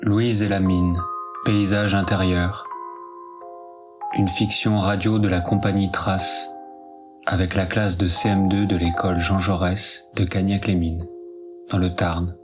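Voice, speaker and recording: Slow, low-voiced man reading scripted narration. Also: music in the background. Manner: measured, even delivery. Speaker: credits narrator.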